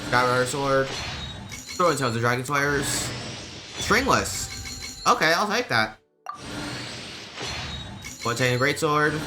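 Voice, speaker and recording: A man giving casual live gameplay commentary as a streamer, with mild, low-key, resigned reactions. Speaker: game streamer. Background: game music.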